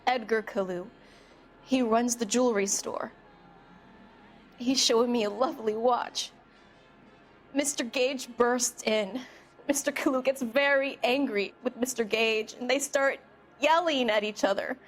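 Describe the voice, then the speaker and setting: An actress playing a nervous, evasive young shop girl giving halting witness testimony in a video game. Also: on the high side, soft background music, close to an everyday voice. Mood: reluctant recounting. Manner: hesitant, halting, plaintive. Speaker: witness being questioned by police. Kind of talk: video game dialogue acting.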